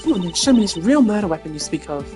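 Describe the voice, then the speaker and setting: A man's voice: high-pitched, big pitch swings, fast, pitched above the performer's own voice. Haughty prosecutor, acted by a man, swinging dramatically. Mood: haughty, challenging. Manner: pompous, formal, commanding. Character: stern, haughty, sharp-tongued male prosecutor from a video game. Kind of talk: theatrical voice acting of video game dialogue. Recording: music behind the voice.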